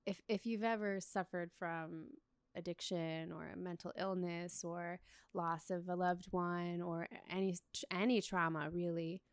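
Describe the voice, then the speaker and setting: A young adult woman, a relationship coach, speaking as a guest in a calm, reflective, soft-spoken way. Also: on the low side, measured pace, clear, resonant tone, no room sound. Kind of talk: interview conversation.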